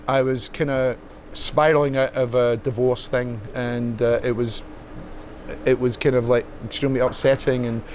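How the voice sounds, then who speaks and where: A middle-aged man with a Scottish accent, talking as a guest in a club. He speaks haltingly, with many fillers and restarts. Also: mid-range voice, a little hiss or hum. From Scotland. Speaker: interview guest, musician. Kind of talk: casual chat.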